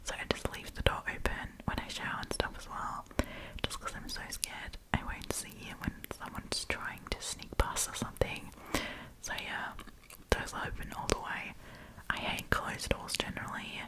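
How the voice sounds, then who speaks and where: A woman's voice: moderate pace, poor audio. A woman doing an ASMR storytime, chatting casually and informally with rambling asides.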